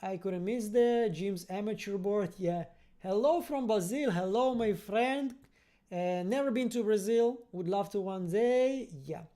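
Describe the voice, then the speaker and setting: A livestream host with a soft-edged, mellow voice. The talk is friendly and informal, wandering and halting while reading out and answering chat comments. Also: medium pitch. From born in Russian-speaking Ukraine, raised from age ten in Israel. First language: Russian. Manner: friendly, rambling, halting, searching for words. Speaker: host of a fan review livestream. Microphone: computer-connected microphone on a livestream.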